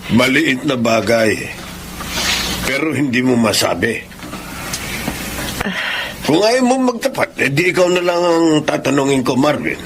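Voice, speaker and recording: A man's voice: medium pitch, expressive, a little slow, long pauses, background noise. An actor playing an angry, wounded, stubborn old father in a Filipino radio drama, speaking with confrontational indignation. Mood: suspicious, irritated.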